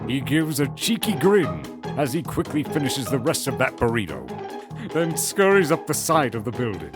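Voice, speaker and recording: A man's voice: mid-range voice, big pitch swings, moderate pace, music in the background. A man narrating a scripted fantasy audio drama in the present tense.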